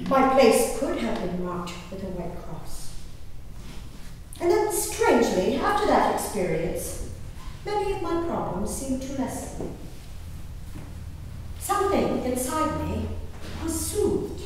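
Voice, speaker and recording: In a very echoey hall, far from the microphone, a high-pitched, genteel English gentlewoman played by an actress declaims her diary. She is theatrical and easily swept up in feeling, and speaks slowly with drawn-out emphasis. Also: big pitch swings, slight background noise, full character voice. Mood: awed, soothed. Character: genteel, dramatic English gentlewoman diarist, easily appalled, enraptured by wild nature. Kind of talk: theatrical dramatic reading of a diary on stage.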